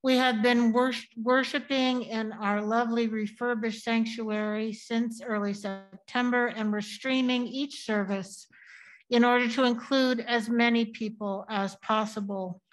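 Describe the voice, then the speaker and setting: A woman's voice: fairly high pitch, steady tone, a little slow, rough recording. A woman from the congregation reading a church announcement, with a few hesitations. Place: church sanctuary.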